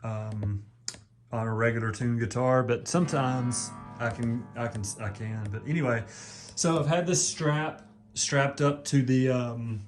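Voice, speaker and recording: Folksy man with a deep voice. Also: unhurried, over music. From East Texas. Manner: rambling, folksy, lots of ums. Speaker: vlogger. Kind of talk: casual home how-to video.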